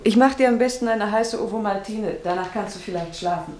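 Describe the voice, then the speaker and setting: An actress in a German radio play, playing a patient, mothering lover who gently admonishes. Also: mid-range voice, a little fast, a little hiss or hum, natural voice. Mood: tender, concerned. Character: caring, patient woman, mothering lover of a troubled writer.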